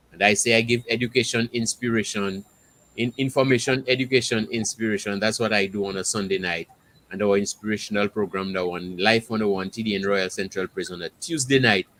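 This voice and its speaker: A man's voice: fairly low pitch, a bit bright, a little hiss or hum, poor audio. A man speaking as an interview guest about his work as a programme host, in an earnest way, repeating phrases in lists. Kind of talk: interview talk about own show.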